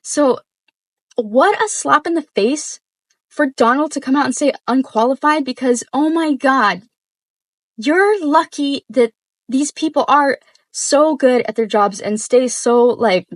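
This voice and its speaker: A woman with a fairly high voice reacts in a casual, off-the-cuff way. She is informal and exclamatory, repeating words for emphasis, in a close, dry recording. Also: a little slow, no room sound. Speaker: reaction video host.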